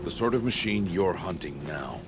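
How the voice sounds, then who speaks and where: A man playing a stern, stoic middle-aged hunter and mentor in a video game, speaking in a grave, measured, solemn way. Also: expressive, background noise. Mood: solemn warning. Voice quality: very deep, weighty. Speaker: mentor and guardian. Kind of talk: dramatic video game acting. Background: prominent background music.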